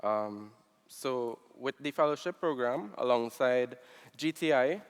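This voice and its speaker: A man from Trinidad and Tobago, giving a relaxed conference presentation with frequent ums. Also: mid-range voice, slow pace, smooth, in a large hall. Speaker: NGO director, panel speaker.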